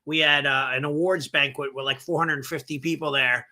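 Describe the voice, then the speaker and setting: A slightly hoarse man with a New York accent talks as a guest on a podcast. His voice is very bright and sits a bit high for a man. He rambles energetically, restarting his sentences as he goes. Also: moderate pace. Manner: energetic, rambling, self-correcting. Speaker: martial arts school owner, guest. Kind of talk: casual podcast interview.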